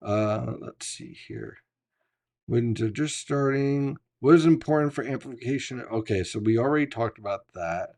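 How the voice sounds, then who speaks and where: A man who hosts a live weather chat, teaching how storms build and answering viewer questions, his voice going hoarse. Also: medium pitch, moderate pace, a little mellow. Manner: explanatory, teacherly, asks "right?" often. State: losing his voice. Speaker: meteorologist hosting a live chat. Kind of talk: live-stream weather explanation and Q&A.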